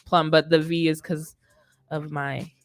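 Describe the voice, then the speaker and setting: A woman's voice: low voice, flat delivery, a little slow, no room sound. A young American woman hosting a music show, chatting casually into a studio mic. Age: young adult. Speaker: radio show host. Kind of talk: casual chat on a music livestream. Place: indoor studio. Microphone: boom-arm studio mic.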